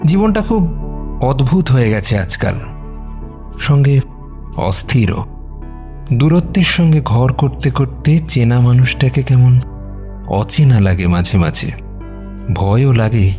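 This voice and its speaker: A male narrator tells a scripted romantic story. His voice sits in a middle range, expressive and dramatic, with soft music underneath. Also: medium pitch, a little slow, long pauses.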